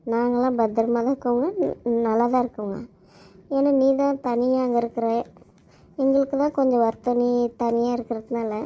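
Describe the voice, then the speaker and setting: A woman's voice: a little high, fairly even intonation, normal speed, warm tone, close to an everyday voice. A woman playing an anxious, loving mother who fusses tenderly as she sees off her soldier son. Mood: fretful, tender. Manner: tender, fussing, repeats advice. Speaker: actor in a family skit. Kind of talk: amateur family drama acting.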